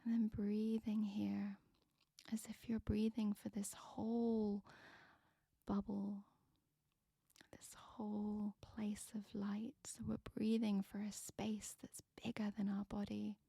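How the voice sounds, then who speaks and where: Meditation guide: woman, breathy whisper, slow and soothing, dry and close. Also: medium pitch, completely flat delivery, dark tone, no room sound.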